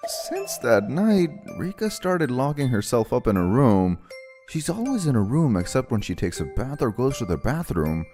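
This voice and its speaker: A man's voice: mid-range voice, sing-song, moderate pace, darkish tone, faint music behind, close to an everyday voice. An actor playing an earnest, easily flustered young man in a romantic comedy anime-style dub. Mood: concerned.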